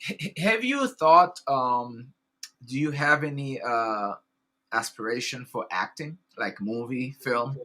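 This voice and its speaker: A man from Haiti with a Haitian accent, hosting a casual interview in an eager, halting way, with many restarts. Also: medium pitch, expressive, bright, forceful tone. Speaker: host.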